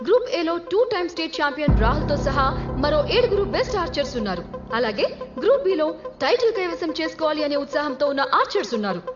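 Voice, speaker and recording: High-pitched excited female sports announcer. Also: quick pace. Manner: animated announcing. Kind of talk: film sports commentary. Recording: dull film audio under loud music.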